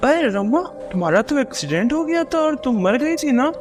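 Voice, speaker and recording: A snobbish woman teacher, acted by a man, high-pitched and harsh but easily rattled. It is a cartoon horror story recorded with clear background noise. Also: big pitch swings, moderate pace. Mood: alarmed. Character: harsh, snobbish female schoolteacher, quick to scold, easily frightened.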